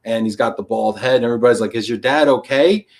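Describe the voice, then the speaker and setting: A man's voice: medium pitch, fast. A man hosting a live-streamed interview, chatty and effusive, who reads viewer comments aloud and riffs on them.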